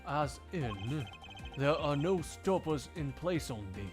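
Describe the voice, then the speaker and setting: A man's voice: on the high side, animated, slow pace, music in the background. A man voicing a stern, proper, easily exasperated prosecutor in a live game fandub, speaking formally and precisely. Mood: analytical, composed.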